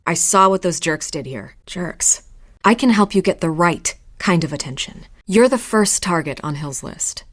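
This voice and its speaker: Low-pitched, wry lady reporter. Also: expressive, normal speed, fairly bright tone. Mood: confident, persuasive. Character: confident, probing, ambitious female newspaper reporter. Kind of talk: naturalistic video game voice acting.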